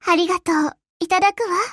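A woman's voice: very high voice, fairly bright tone, no room sound, studio quality, full character voice. A woman voicing a poised, teasing young lady warship in an anime game. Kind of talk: Japanese anime game voice acting.

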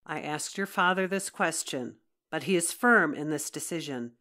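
A woman's voice: sits low, moderate pace, pushed and bright. An actress playing a stern, unyielding middle-aged Roman mother in an audio drama, with a commanding manner.

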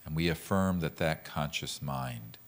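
A man leading a guided meditation as a teacher, speaking in a calm, gentle, measured way with pauses. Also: rumbling low, gravelly. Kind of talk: guided meditation with mantra instruction.

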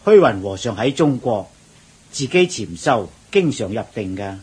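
An actor playing a patient, deferential Buddhist monk who explains things gently and in a measured way in a radio drama. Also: male voice, lowish voice, very animated, husky, exaggerated voice. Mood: explaining. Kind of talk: Cantonese radio drama acting.

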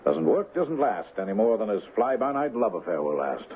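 A man's voice: fairly low pitch, sing-song, a little fast. An actor playing a domineering, brusque middle-aged millionaire father, curt and dismissive, in a 1970s radio drama. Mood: scornful, commanding. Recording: muffled, noisy 1970s radio broadcast.

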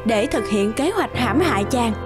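A woman's voice: on the high side, animated, moderate pace, loud music behind the voice, natural voice. A woman performing as the storybook narrator of a children's cartoon, reading scripted fairy-tale narration. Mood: storytelling.

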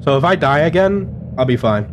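A man's voice: a little high, animated, a little fast, background noise. A man with a cold hosting casual gameplay chat with friends, repeating short instructions to his teammates. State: sick. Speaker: gaming video host. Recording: game music behind voice.

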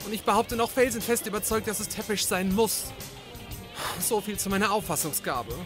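An actor playing an anxious, naive, put-upon young detective's assistant in a comedy audio drama, whiny and flustered. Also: male voice, sits high, normal speed, over music, lightly acted. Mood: embarrassed, dismayed.

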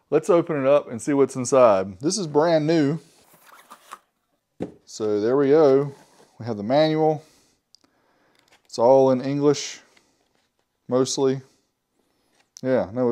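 Host type: man, forties, easygoing unboxing patter, mid-pitched, jokes to himself. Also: a little mellow. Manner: chatty and joking, talks to himself. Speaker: host reviewing car audio gear. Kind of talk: casual product unboxing and review.

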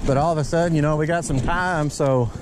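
A man's voice: fairly high pitch, fairly even intonation. A man hosting a fishing vlog, chatting casually by a creek and marveling at the scenery in an easygoing way. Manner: easygoing, admiring, drawn-out exclamations. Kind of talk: casual outdoor chat. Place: outdoors by a rocky creek. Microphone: wearable action camera. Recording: outdoor wind and water noise.

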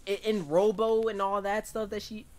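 A young adult man giving casual, jokey, slangy reaction commentary in an excitable way, often repeating himself. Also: sits high, normal speed. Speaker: reaction video host. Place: small bedroom indoors. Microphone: desk microphone with pop filter.

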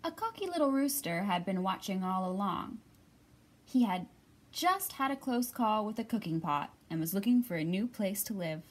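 A woman narrating a children's picture book aloud with measured, emphatic, expressive phrasing. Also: mid-range voice. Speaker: storyteller.

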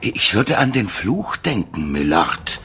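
An actor playing a solemn parapsychology professor, portentous and full of dramatic pauses, in a 1970s German radio play. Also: male voice, on the low side, very animated, noisy background, exaggerated voice. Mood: alarmed, ominous. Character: ominous, solemn parapsychology professor warning of a curse.

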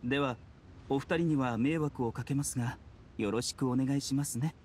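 A man playing a courteous, composed doctor in an anime game, speaking gently and formally. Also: mid-range voice, moderate pace, a little hiss or hum, close to an everyday voice. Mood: calm. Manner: soft-spoken polite formal phrasing. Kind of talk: Japanese anime game voice acting.

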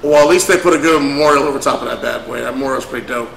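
A man's voice: medium pitch, very fast, creaky, fairly bright tone, roomy sound, a little hiss or hum, rough recording. A man co-hosting a casual chat show, talkative and joking, often calling people brother or man. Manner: chatty, joking, says brother and man a lot. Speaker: podcast co-host.

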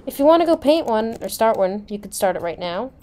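A woman's voice: fairly high pitch, expressive, fairly quick, mellow, lightly acted. A woman playing a helpful school teacher in a casual improvised game roleplay. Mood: explaining. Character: attentive school teacher and lunch server at private school. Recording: noisy home mic with room ambience.

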